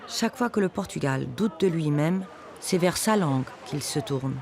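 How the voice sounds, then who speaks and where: A man narrating a documentary in a measured, literary way. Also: fairly high pitch, lively intonation, creaky. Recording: busy crowd noise behind.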